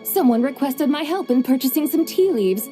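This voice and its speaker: A woman's voice: high voice, animated, normal speed, dark tone, faint music behind. A woman voicing a drowsy, formal mystic woman with grand, old-fashioned manners in a game cutscene. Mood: stately.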